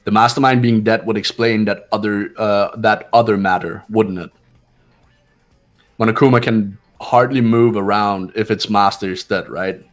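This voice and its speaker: A man's voice: on the low side, steady tone, normal speed, soft background music, natural voice. A man playing a cool, analytical student in a fan-voiced visual novel, composed and authoritative. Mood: stern, reasoning. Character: cool, commanding, analytical teenage male student.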